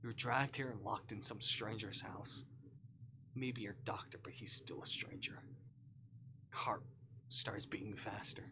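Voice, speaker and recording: A man's voice: fairly low pitch, fairly even intonation, measured pace, pauses often, whispered. A man who streams games, reading a visual novel aloud in a dramatic narrating manner. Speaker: game streamer.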